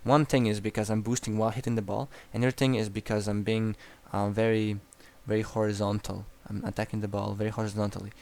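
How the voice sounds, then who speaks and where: Young Croatian-accented man, lowish voice, blunt and profane game coach. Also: level tone, fast, vocal fry, few pauses, darkish tone. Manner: blunt and profane, frequent restarts. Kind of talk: replay analysis commentary.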